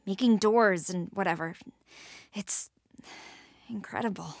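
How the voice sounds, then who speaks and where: A woman's voice: medium pitch, unhurried, no room sound, clean studio sound. An actress voicing a wary, sardonic young woman in a horror video game, muttering to herself. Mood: hushed wonder. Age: young adult. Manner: muttering to herself, hushed, breathy.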